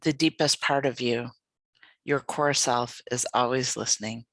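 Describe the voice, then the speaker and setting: A yoga nidra guide leading a guided meditation in a gentle, soothing, unhurried manner. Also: mid-range voice, steady tone, no echo.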